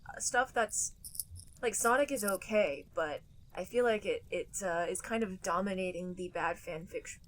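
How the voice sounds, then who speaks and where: A woman's voice: mid-range voice, normal speed, slight background noise. A young adult woman co-hosting a casual podcast, chatting loosely with lots of filler words and frequent laughter. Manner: laughs often, many "like" fillers. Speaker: podcast co-host. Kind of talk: casual chat.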